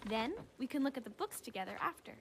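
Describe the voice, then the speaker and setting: A woman voicing a kind, responsible teenage elder sister in an animated film, gentle and reassuring, with music underneath. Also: on the high side, fast, slight background noise. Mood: welcoming, gently chiding.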